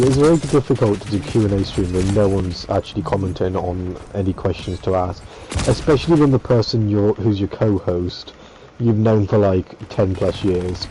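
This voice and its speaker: A man's voice: lowish voice, noisy background. A young man chatting and teasing his co-host on a casual gaming stream, rambling and laughing often.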